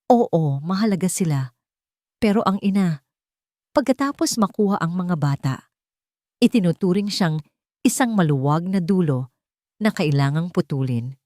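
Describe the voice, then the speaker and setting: A woman's voice: on the low side, animated, moderate pace, pauses often, warm tone, no echo, studio quality. A woman reading a scripted, dramatic love story as a narrator.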